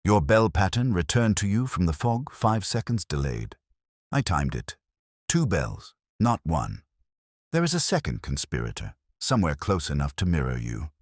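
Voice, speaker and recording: A man's voice: low voice, wildly swooping pitch, normal speed, dry recording, clean studio sound, exaggerated voice. A man voicing a keen, commanding detective with precise, theatrical, coolly triumphant delivery in an audio story.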